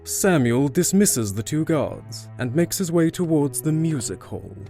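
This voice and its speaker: A man's voice: a little high, expressive, unhurried, warm tone, soft background music. A man reading scripted narration for an audio drama.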